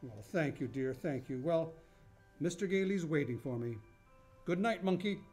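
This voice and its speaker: A man playing a kindly, playful old man in a radio play, gentle and encouraging in manner. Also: a little high, animated, moderate pace, soft background music, close to an everyday voice. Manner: gentle, chuckling, hesitant repeats. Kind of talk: stage-style radio play acting.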